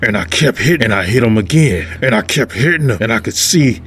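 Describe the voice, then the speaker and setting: A man voicing a homeless man who haltingly confesses to a dark crime, told as horror storytelling with music. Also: mid-range voice, expressive, slight background noise, voice pushed up. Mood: frantic. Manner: haunted, halting confession that builds to frantic. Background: music score.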